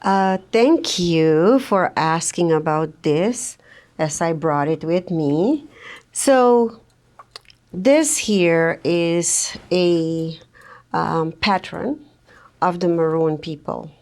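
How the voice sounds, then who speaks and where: A middle-aged woman from Suriname, Dutch as her first language, speaking slowly and thoughtfully as a podcast guest. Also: fairly low pitch, a little mellow. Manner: slow, reflective, thoughtful with frequent pauses. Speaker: guest social worker. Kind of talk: podcast interview.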